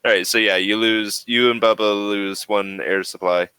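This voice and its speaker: Low, clear-voiced man running a game. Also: steady tone, moderate pace, pushed and bright, dry recording. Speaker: game master of a tabletop role-playing game. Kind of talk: casual, improvised game narration and rules talk.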